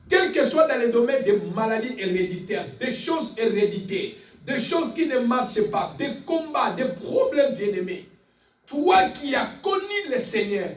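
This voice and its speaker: A man preaching a fervent sermon, speaking emphatically and insistently, repeating phrases and calling on listeners for amens. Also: extremely high pitch. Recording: echoey room, distant mic, faint music.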